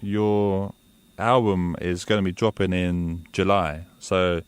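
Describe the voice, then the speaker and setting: A man's voice: sits low, little pitch movement, slow, clean tone, dry recording. A man hosting a radio show, interviewing a guest in a chatty, enthusiastic way and calling him "man" again and again.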